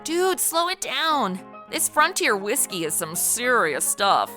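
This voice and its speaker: A brash, snarky American party girl played by a woman with a bright, very animated voice. She banters and narrates boozily in a comedy audio drama, music faintly behind. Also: fairly high pitch, slow pace, exaggerated voice. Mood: tipsy, snarky. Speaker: lead of the show.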